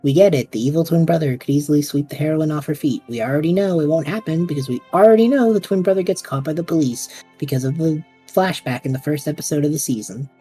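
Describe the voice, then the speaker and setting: A woman's voice: low voice, fast talker, hardly any pauses, mellow, breathy edge, faint music behind. A woman voicing a restless young male time traveler who whines and rambles dramatically, in an audio drama. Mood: rambling.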